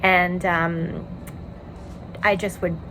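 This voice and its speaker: A woman in her forties from Montreal with a Canadian accent, hosting a casual knitting podcast from home and rambling warmly with many ums and uhs. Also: lowish voice, level tone, extremely slow, noisy background. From Montreal, Quebec, Canada. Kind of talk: casual chat. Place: home in a tiny house.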